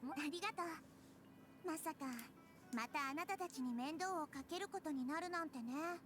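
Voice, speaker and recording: A woman voicing a gentle, wise little girl deity in an anime game, calm and thoughtful as she explains things. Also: sits high, quick pace, natural voice. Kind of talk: anime video game acting. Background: music.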